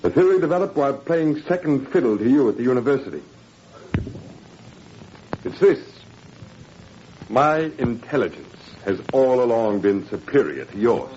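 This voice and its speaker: An actor in a 1940s radio drama playing a smug, menacing Nazi education official with a sardonic, mock-courteous manner. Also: male voice, medium pitch, big pitch swings, moderate pace, long pauses, a little mellow, natural voice. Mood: silkily menacing. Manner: silky, sardonic, mock-courteous, drawling hesitations. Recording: dull, noisy 1940s radio recording.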